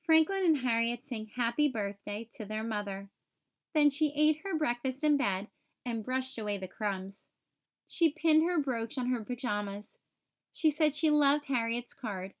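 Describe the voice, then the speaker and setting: Female storybook reader, high-pitched voice, expressive delivery for a young audience. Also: unhurried. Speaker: read-aloud host for young children. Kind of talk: children's storybook read-aloud narration.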